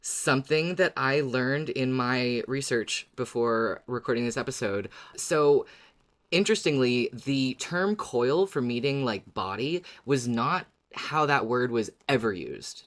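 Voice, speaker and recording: A woman's voice: rumbling low, fairly bright tone. A woman co-hosting a podcast, eagerly explaining her research in casual discussion. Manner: enthusiastic, explains research at length. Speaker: podcast co-host.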